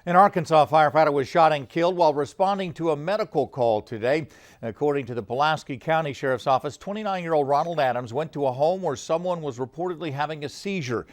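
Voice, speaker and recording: A man's voice: a little high, fast. A man anchoring a television newscast, reading scripted stories in a formal news delivery.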